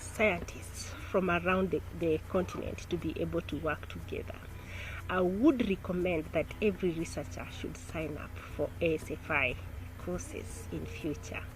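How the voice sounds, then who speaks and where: A woman giving an earnest, somewhat halting testimonial about a course she took. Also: on the low side, slight background noise, low-quality recording. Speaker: course participant.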